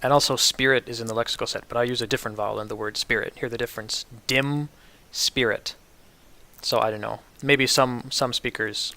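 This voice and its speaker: Man, New York City accent, mid-pitched, bright tone, deliberate enunciation, instructional delivery. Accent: New York City American. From New York City, United States. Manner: precise, deliberate enunciation of example words. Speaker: presenter demonstrating pronunciation. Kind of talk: instructional demonstration with word lists.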